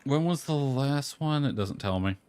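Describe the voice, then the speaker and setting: A man's voice: medium pitch, animated, normal speed, darkish tone, dry recording. A man livestreaming a video game, talking casually to himself and reading game text aloud. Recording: music playing behind the voice. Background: lo-fi music.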